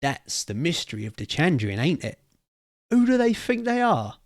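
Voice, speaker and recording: A man's voice: on the high side, big pitch swings, normal speed, dry recording, clean studio sound, voice pushed up. A man voicing an opinionated old cockney pub storyteller, theatrical and emphatic, thick with slang. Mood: animated.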